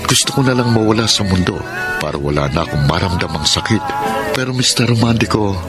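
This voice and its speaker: A man's voice: fairly low pitch, lively intonation, fast talker, close to an everyday voice. A man playing an emotional young boyfriend in a Filipino radio drama, with a Filipino accent. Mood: sorrowful, reflective. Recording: loud music behind radio drama voices.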